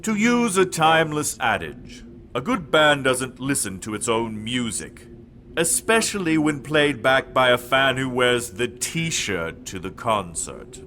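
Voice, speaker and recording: A man voicing a weary, disdainful dark lord in an audio drama, haughty, dry and coolly menacing. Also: medium pitch, big pitch swings, a little slow, a little hiss or hum.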